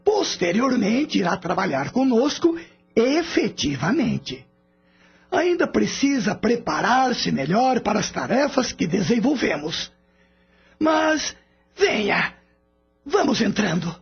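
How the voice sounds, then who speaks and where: A wise, patient spirit mentor played by a man in a radio drama. The voice is high for a man and swoops expressively, with a husky grain. The recording is a bit dull. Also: sing-song, takes it slowly, heavily acted. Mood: inviting, instructive. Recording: dull lo-fi audio with background music.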